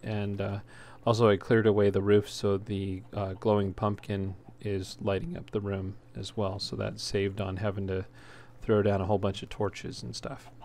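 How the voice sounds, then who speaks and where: A man's voice: lowish voice, little pitch movement, moderate pace, few pauses, a little mellow. A man hosting a gaming video with casual, unscripted walkthrough commentary, speaking a little hesitantly. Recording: noisy home recording, clear background noise.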